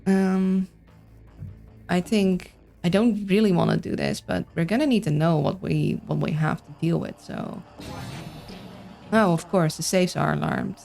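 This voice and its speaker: Dutch-accented woman streamer, low voice with a gravelly edge, chatty and thinking out loud, faint music behind. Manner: chatty, reads and answers chat, thinks aloud. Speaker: game streamer. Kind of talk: casual livestream chat while playing.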